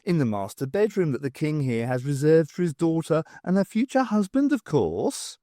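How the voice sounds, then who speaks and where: Man putting on snappish pantomime vizier, swooping pitch. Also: sing-song, normal speed, mellow, no echo, clean studio sound. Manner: snappy, indignant. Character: snappish, self-important pantomime vizier, male villain.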